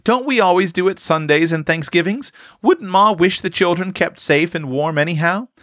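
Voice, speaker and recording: Man voicing a bold, bossy farm girl, raised pitch. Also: very animated, a little slow, fairly bright tone, no room sound, clean studio sound, exaggerated voice. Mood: spirited, insistent. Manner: commanding, rustic old-time speech.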